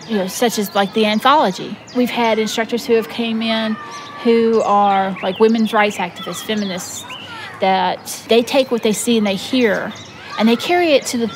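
A woman's voice: a little high, noisy background. A woman explaining things as an interviewee in a documentary.

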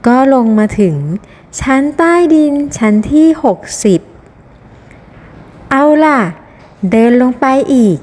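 A woman's voice: high-pitched, animated, takes it slowly, pauses often, a little mellow. A woman reading a scripted children's story in simple phrasing for small children, in a reverberant recording. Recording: long reverberant hall echo.

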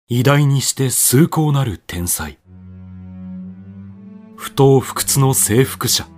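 A man narrating a radio drama from a script in a solemn, declamatory, dramatic manner. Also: medium pitch. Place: studio voice-over with music behind.

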